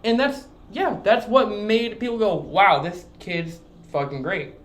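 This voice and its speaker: A young man co-hosting a casual soccer podcast, animated and swearing freely, often restarting his sentences. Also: high-pitched, unhurried, reverberant. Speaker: podcast co-host. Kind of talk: casual sports chat.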